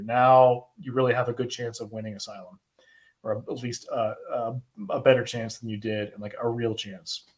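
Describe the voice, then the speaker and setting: A man with an American accent answering viewer questions in an informal, conversational way, thinking aloud with pauses as he explains. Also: mid-range voice. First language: English. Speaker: host, asylum lawyer answering viewer questions. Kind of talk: informal live Q&A, explaining law.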